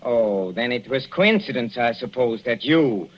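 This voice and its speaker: A man's voice: mid-range voice, lively intonation, unhurried, close to an everyday voice. An actor playing a sinister husband who has poisoned his wife, in 1940s radio acting on a dull old broadcast. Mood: menacing. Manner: softly gloating, sinister. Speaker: villain in a radio play.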